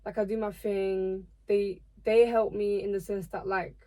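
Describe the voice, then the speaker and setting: A woman's voice: mid-range voice, measured pace. A young woman from Portugal, a singer guesting on a podcast, talking casually with lots of "like" fillers. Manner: relaxed, filler-heavy talk with many "like"s.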